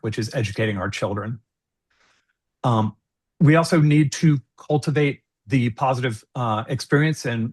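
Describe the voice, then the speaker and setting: A middle-aged man giving an earnest, measured candidate statement on a video call, with some fillers. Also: mid-range voice. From United States. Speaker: school board candidate.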